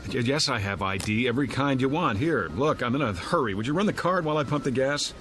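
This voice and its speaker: An actor playing an irritable, hungover husband in a suspense drama, sarcastic, bewildered and exasperated. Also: male voice, medium pitch, lively intonation, fast, fairly bright tone, a little hiss or hum, natural voice. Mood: impatient.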